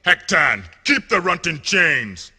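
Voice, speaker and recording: Theatrical, swooping cartoon villain. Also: male voice, on the high side, big pitch swings, breathy edge, heavily acted. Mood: imperious. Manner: theatrical, imperious, drawn-out shouting. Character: menacing cartoon villain barking threats and commands. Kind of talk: over-the-top 1990s game cartoon acting. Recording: noisy lo-fi old game cutscene audio.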